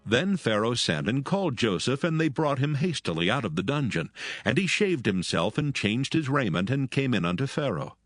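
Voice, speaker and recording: A man's voice: mid-range voice, big pitch swings, normal speed, dry recording. A man narrating scripture as a dramatized reading, in a solemn and formal manner. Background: soothing background music.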